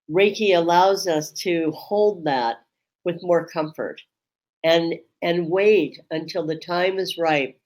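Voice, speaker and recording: A woman Reiki teacher hosting a webinar, with a strong voice that carries across a room. She talks in a slow, measured way and pauses often with ums. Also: lowish voice. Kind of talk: reflective webinar talk. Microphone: webinar microphone.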